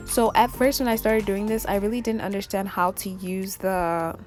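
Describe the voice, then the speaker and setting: A young woman with a raspy, just-woken voice, rambling and self-critical as she narrates a vlog into her phone. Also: medium pitch, little pitch movement, normal speed, a little mellow, soft background music. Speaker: nail art vlogger. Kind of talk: casual voice-over narration.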